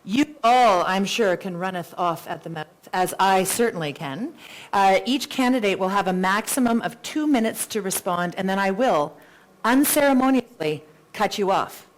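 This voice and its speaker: A woman's voice: medium pitch, animated, moderate pace, poor audio. A Canadian woman moderating a live candidates' debate, chatty, with playful self-deprecating asides and a big voice. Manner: says she has a really big voice.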